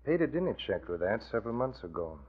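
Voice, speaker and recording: Husky, low-voiced crime boss. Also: male voice, very animated, fast talker. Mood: smug, confident. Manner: commanding, sure of himself. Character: cool, menacing male big-shot gambling racketeer. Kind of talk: 1940s radio acting. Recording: tinny, band-limited old radio recording.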